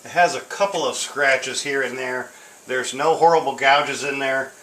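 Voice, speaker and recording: Man in a roomy, bright-voiced demo. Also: medium pitch, steady tone, normal speed. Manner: informal, hesitant, explanatory. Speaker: tape deck restorer demonstrating a machine. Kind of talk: casual unscripted product demonstration. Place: indoor room at home. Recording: roomy, echoing sound with hiss.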